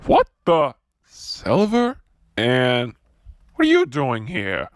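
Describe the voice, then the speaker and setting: Male actor, survivor hero, earnest, measured slow pace, dry sound, amateur drama. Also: mid-range voice, wildly swooping pitch, no echo. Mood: grave. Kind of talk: amateur scripted action drama.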